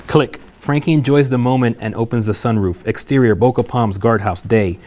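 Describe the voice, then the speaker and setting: A man's voice: mid-range voice, normal speed, darkish tone. A man reading scene headings and action lines aloud as the narrator at a screenplay table read.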